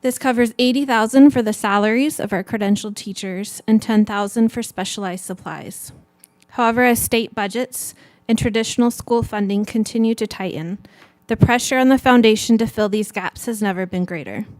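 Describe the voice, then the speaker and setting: A woman's voice: a little high, normal speed, darkish tone, no echo. Woman, from california, earnest, emphatic, dry close sound, podium speech. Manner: earnest, emphatic reading of a funding request. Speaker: education foundation director. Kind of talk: prepared public statement. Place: city council chamber.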